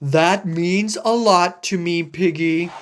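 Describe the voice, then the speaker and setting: A woman voicing a big, gentle, worried elephant in a storybook read-aloud, speaking low and carefully. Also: slow pace. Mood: fretful.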